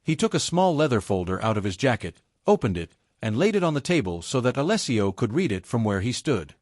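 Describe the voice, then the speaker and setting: A male audiobook narrator delivers suspenseful fiction in a dry, close sound. His pitch moves widely as he shapes each sentence of the drama. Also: sing-song, a little fast, a little mellow, no echo. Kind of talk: dramatic scripted narration of a romance thriller.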